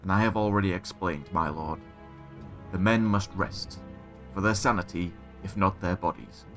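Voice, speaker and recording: A man's voice: sits low, steady tone, moderate pace, a bit bright, soft background music. A man playing a stern, weary guard captain in a fantasy audio drama, speaking formally and guardedly. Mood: stubborn, formal.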